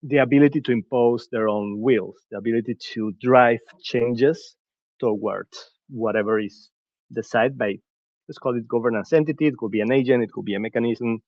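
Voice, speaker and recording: A man's voice: mid-range voice, moderate pace, no room sound. Man talking earnestly, dry dull sound. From Venezuela. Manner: earnest, searching for words, frequent restarts. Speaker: podcast guest. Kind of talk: reflective conversational talk.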